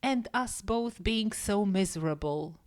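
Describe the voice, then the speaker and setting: A woman reader plays a wistful, unhappy storybook woman. She speaks slowly and earnestly, with a plaintive longing, at a middle pitch. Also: lively intonation, lightly acted. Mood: miserable, longing.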